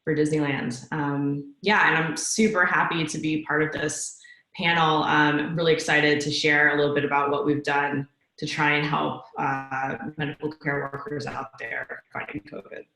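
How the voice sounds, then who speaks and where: A woman's voice: low voice, little pitch movement, moderate pace, bright, forceful tone. A woman introducing herself as a webinar panelist. She sounds upbeat and eager. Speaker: panelist, product executive. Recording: echoey room.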